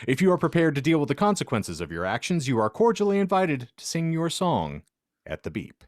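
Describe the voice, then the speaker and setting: Male performer as theatrical malcontent poet on a voicemail message; pompous, measured announcing, very dry sound. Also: on the high side, huge pitch swings, no echo, voice pushed up. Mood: pompous, mock-formal. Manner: pompous, deliberate, drawn-out announcing. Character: grandly theatrical self-styled poet on his voicemail greeting.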